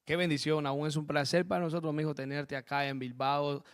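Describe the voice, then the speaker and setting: A man's voice: fairly high pitch, little pitch movement, fairly quick, clear, resonant tone. Fervent man, pastor hosting a program. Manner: fervent, many amens and blessings. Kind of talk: preaching interview with spoken prayer. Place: church program set in Spain.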